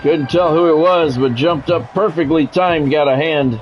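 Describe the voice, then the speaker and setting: A man's voice: on the high side, moderate pace, muffled recording, soft background music. An American man calling live football video game play-by-play in clipped announcer phrases, with casual asides. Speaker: play-by-play streamer.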